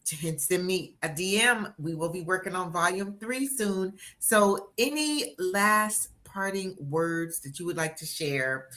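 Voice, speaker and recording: A woman in her sixties hosting a live-stream interview, energetic and encouraging with a motivational push. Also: on the low side, a little slow, hardly any pauses. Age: 60s. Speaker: interviewer and book coach.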